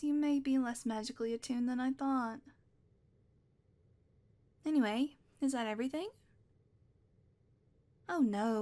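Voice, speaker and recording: High soft-voiced witch lady, sweet but kinda menacing, woman doing a close-mic whispery roleplay. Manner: soft, doting, teasing, drifts into whispers. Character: sweet possessive female witch, playfully menacing. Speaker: audio roleplay performer. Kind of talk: scripted ASMR roleplay.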